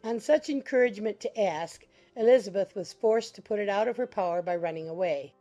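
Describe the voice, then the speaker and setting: A woman's voice: mid-range voice, dark tone. A woman narrating a classic novel as scripted literary audiobook narration.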